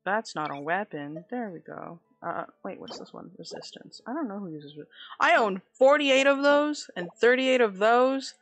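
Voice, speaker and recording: A woman's voice: mid-range voice, lively intonation, moderate pace. Woman streamer with a slight lisp. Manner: chatty, playful, talks to chat. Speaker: game streamer. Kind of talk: casual gameplay commentary. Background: faint game music.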